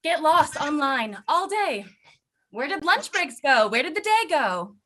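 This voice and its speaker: A woman's voice: high voice, big pitch swings, measured pace, bright, forceful tone, exaggerated voice. A woman acting a restless, wistful character in lockdown, in a staged reading of a play.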